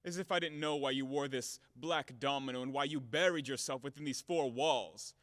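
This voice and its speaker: An actor playing a brash, hot-tempered, blunt creditor in an audio play of a classic farce, with a blustering, theatrical, emphatic manner. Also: male voice, mid-range voice, moderate pace, a bit bright, dry recording, clean studio sound, big-room sound, close to an everyday voice. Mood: mocking, indignant.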